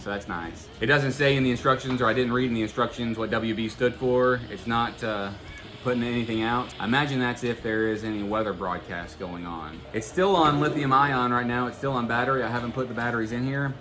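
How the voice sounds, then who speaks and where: A man giving a casual, unscripted product demonstration as a video host, halting and thinking aloud as he goes. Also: mid-range voice, music in the background. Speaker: product reviewer and channel host.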